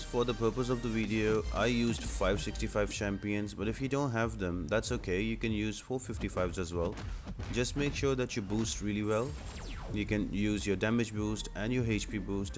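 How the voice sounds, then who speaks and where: A man giving casual, explanatory video game guide commentary, speaking directly to viewers as the host. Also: lowish voice. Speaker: host of a gaming guide channel. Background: music behind the voice.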